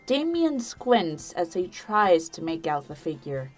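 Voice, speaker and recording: A woman's voice: fairly low pitch, sing-song, slow. A woman reading scripted third-person narration for an audio drama. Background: background music.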